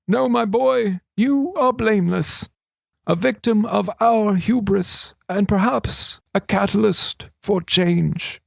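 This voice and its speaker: A man voicing an old male wizard regent who is formal, paternal and dryly playful, speaking slowly and deliberately. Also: sits high, gravelly, dry recording, pitched above the performer's own voice, exaggerated voice. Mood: stern kindly. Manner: slow, deliberate, grandly formal. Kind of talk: audiobook character voice.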